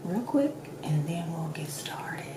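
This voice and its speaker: Slow, hushed woman in ASMR roleplay. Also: sits low, expressive. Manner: gentle, hushed, often slipping into whisper. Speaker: ASMR creator playing a doctor. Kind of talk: soft-spoken ASMR roleplay. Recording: roomy echo with clear background noise.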